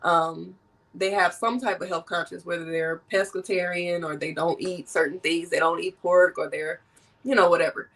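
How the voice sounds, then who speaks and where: Woman host with a cold, nasal, lively and laughing, heard a little off-mic. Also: lowish voice, moderate pace, far from the mic, rough recording. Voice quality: animated and laughing often. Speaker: podcast host. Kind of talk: casual conversation.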